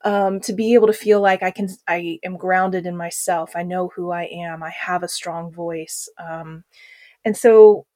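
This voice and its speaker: A woman's voice: fairly low pitch, steady tone, a little slow, mellow, dry recording. A woman who works as a trauma healing coach, answering interview questions in an earnest, reflective way with frequent fillers.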